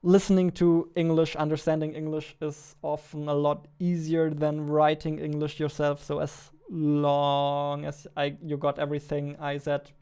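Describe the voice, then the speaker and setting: A man, chatting casually on a livestream while he works, thinking aloud in a halting way. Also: a little high, completely flat delivery, warm tone, smooth. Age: 20s. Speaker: game developer and streamer. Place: indoor room at a computer, keyboard clicks behind.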